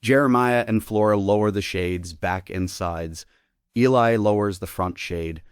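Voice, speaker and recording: A man's voice: fairly low pitch, measured pace, clean tone, dry recording, clean studio sound. A man giving scripted narration, reading out the stage directions of a play.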